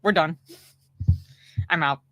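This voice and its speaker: Lady streamer, blunt and annoyed, cusses a lot, kinda low voice. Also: slow pace, fairly bright tone, no room sound. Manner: blunt, swears a lot, exasperated ranting. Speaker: co-host and streamer. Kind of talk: casual chat on a stream.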